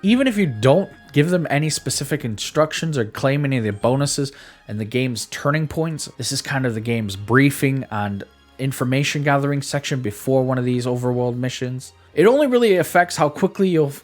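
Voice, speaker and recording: A man's voice: medium pitch, expressive, moderate pace. A man giving a casual, opinionated game review in a conversational way, repeating words for emphasis. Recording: background music under voice. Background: music.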